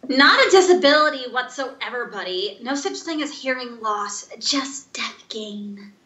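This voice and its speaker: This is a dismissive, condescending online commenter, read out by a woman. The voice is fairly high and sharp, sarcastic in delivery, and recorded in an echoey room. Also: lively intonation, unhurried. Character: condescending, dismissive deaf-pride internet commenter.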